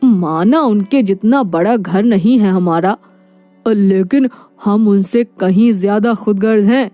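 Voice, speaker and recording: A woman voicing a humble, proud poor husband in a moral story, calm and earnest. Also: mid-range voice, slow, dry recording, soft background music, pitched below the performer's own voice, close to an everyday voice. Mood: reassuring. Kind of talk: animated moral story dialogue.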